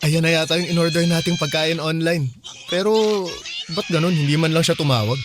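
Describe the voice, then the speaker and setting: An actor with a Filipino accent playing an attentive, reassuring young boyfriend in a radio drama. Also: male voice, a little high, steady tone, brisk, music in the background, close to an everyday voice. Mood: casual.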